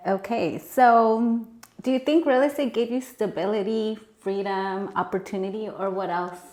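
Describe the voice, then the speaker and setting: Woman host, mid pitch, encouraging, says "like" a lot, roomy sound. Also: normal speed, darkish tone. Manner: friendly, encouraging, frequent "like" fillers. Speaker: podcast host. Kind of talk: casual interview hosting. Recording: echoey room.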